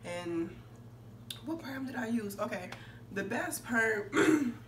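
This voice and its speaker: A young woman with a somewhat hoarse, congested voice chatting casually and emphatically to camera as a beauty vlogger. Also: fairly high pitch, animated, takes it slowly. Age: young adult. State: hoarse from a cold, clearing throat. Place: indoor room with curtains. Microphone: phone facing her, a few feet away. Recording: distant phone mic, noisy room.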